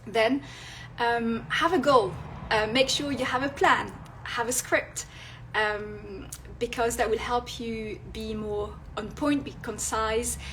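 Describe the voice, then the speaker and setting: A woman with a French accent, French her first language, giving tips on a live video and pausing often with fillers and restarts. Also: on the high side, steady tone, fairly bright tone, slight background noise, low-quality recording. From France. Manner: hesitant, many fillers and restarts. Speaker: illustrator and vlogger. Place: indoors at home, near a street.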